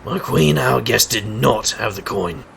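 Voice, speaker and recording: A man's voice: lowish voice, lively intonation, measured pace, vocal fry, fairly bright tone, a little hiss or hum, exaggerated voice. An actor playing a cocky, wisecracking street magician and private eye in an audio drama, with a showman's wry, theatrical patter. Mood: wry, explaining. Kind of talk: scripted audio drama acting.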